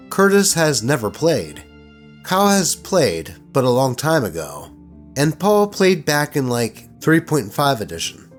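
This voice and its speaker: A man narrating stories in an expressive, colloquial way, with casual commentary mixed in. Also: mid-range voice. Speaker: host reading online tabletop horror stories.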